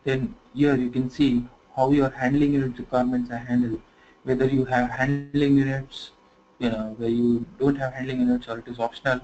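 A man teaching software configuration in a screen-recorded tutorial, talking conversationally and filling pauses with "you know". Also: medium pitch, flat delivery. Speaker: software trainer. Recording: slightly dull lo-fi screen recording with faint noise.

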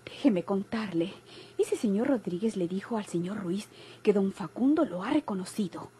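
An actress playing an excitable, nosy young daughter with a Mexican accent in an old radio drama. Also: female voice, medium pitch, normal speed, close to an everyday voice. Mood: breathless, confiding. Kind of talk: golden-age Mexican radio drama acting.

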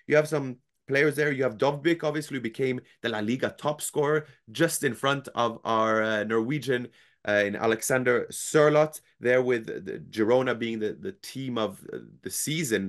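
A young man in his twenties co-hosting a casual football chat from home on a headset, talking in a measured, hedging way. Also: medium pitch. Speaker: football podcast co-host. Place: home room with football shirts. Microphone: headset on video call.

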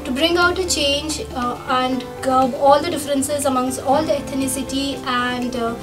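A woman in her twenties with a high, light voice gives an earnest campaign pitch in formal phrasing. She sits a little back from the studio mic. Music plays underneath. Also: steady tone, normal speed, distant mic. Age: 20s. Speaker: election candidate. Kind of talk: short campaign statement to camera. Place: university TV studio.

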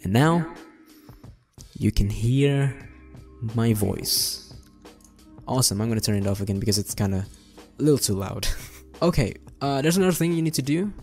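A young adult man hosting an informal software tutorial, explaining things step by step in a casual way. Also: medium pitch, lively intonation, moderate pace, pauses often, faint music behind. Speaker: tutorial host. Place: indoor room at a desk.

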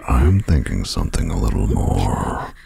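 An actor playing a menacing, sadistic male werewolf pack leader in an audio roleplay, with a breathy growl and a taunting, mock-polite manner. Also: very animated, unhurried, background noise, full character voice. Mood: taunting menace. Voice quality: very deep gravelly growl, often breathy. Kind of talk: dramatic audio roleplay acting.

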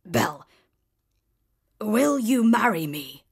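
A woman voicing a huge, gruff male beast who is sad and brooding, speaking in a low, breathy whisper. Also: big pitch swings, takes it slowly, heavily acted. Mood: gloomy.

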